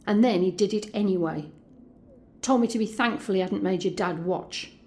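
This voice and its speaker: Woman playing a stony, hushed, bitter mother. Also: mid-range voice, normal speed, natural voice. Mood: stony, wounded. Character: Alex's mother, stony, traumatised, vengeful middle-aged woman. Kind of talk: recounted dialogue in audio drama.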